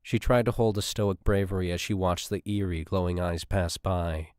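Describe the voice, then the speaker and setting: A man's voice: sits low, steady tone, normal speed, darkish tone, clear, resonant tone, no echo, clean studio sound. A man reading scripted military science fiction as an audiobook narrator.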